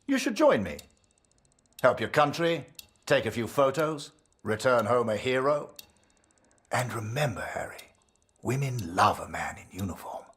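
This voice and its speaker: Husky army major, confident and avuncular, voice swoops a lot, game drama. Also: male voice, mid-range voice, a bit bright, exaggerated voice. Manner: patronising, avuncular. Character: confident, charming army major, smooth recruiter. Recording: faint music behind.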